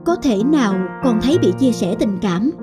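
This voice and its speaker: A tender young mother with a worried manner in a cartoon family drama, acted by a woman. Music is clearly heard behind. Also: on the high side, animated, normal speed, lightly acted.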